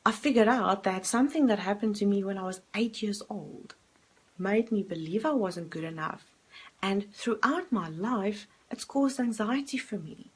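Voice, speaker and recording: Breathy woman. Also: medium pitch. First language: Afrikaans. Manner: earnest, direct, asks herself questions and answers them. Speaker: coach. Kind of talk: talking-head self-help video.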